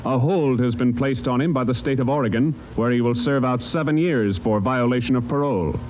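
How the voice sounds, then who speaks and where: A man's voice: medium pitch, fairly quick, slight background noise. A man working as a radio announcer, reading a formal script in 1950s broadcast style. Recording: dull vintage radio recording, loud music.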